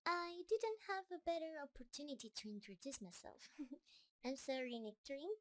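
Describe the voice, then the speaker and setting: A woman's voice: high voice, lively intonation, normal speed, fairly bright tone. A woman playing a shy, sweet, lovestruck spider monster in an intimate roleplay audio, nervous and hesitant in her delivery. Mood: excited, coaxing. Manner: nervous, hesitant, stammering, gushing.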